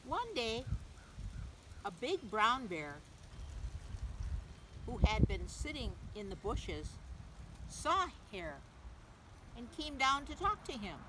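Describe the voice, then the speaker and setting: A woman reading a picture book aloud to young children, gently, in simple phrases, pausing to ask the listener questions. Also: a little high, very animated. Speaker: children's story reader, teacher. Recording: clearly noisy background.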